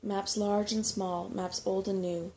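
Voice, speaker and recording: A soft-spoken woman reading a children's book aloud as an ASMR bedtime story. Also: medium pitch, level tone, a little slow, a little hiss or hum.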